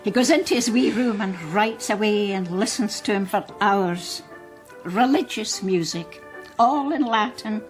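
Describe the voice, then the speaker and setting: Actress as homely older Scottish landlady, talkative, fussing, mid pitch, radio drama. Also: unhurried, faint music behind, close to an everyday voice. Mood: chatty. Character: chatty, fussing older Scottish mother running guesthouse.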